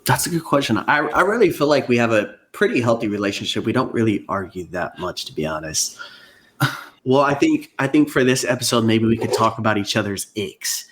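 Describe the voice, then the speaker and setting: A man's voice: medium pitch, lively intonation, fairly quick, a bit bright, slight background noise. A man co-hosting a casual podcast, talking in an easygoing, earnest way. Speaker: podcast co-host. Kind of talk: casual chat. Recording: echoey room.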